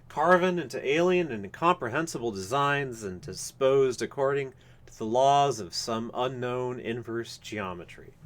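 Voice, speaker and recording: A man reading a literary horror story aloud live, with measured, formal and dramatic phrasing. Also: medium pitch, fairly bright tone. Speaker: reader of a story.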